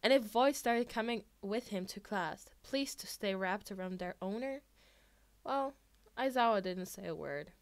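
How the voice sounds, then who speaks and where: A woman reading fiction aloud in an expressive amateur audiobook style. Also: mid-range voice, a little slow. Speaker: podfic reader.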